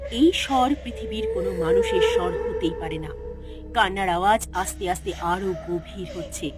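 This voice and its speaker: A woman narrating a scripted horror story in a dramatic storytelling style. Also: mid-range voice. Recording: music behind the voice.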